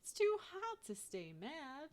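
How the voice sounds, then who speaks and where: A woman's voice: high-pitched, wildly swooping pitch, slow, a little mellow, voice pushed up, full character voice. A woman voicing an easygoing grown-up neighbour lady in a storybook, coaxing children in a folksy way.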